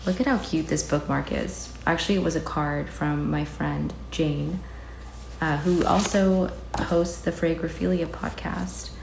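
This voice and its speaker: Sleepy-voiced young woman vlogger, low pitch. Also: fairly even intonation, a little slow, gravelly. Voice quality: soft, sleepy voice. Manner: rambling, filler-heavy, candid. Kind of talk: casual chat to camera. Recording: echoey room with noise.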